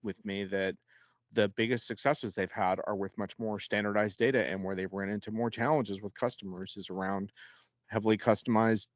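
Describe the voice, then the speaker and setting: A man's voice: fairly low pitch, steady tone, normal speed, dry recording, dull audio. A man speaking as a guest analyst in an unscripted panel discussion, in a conversational way with frequent self-corrections.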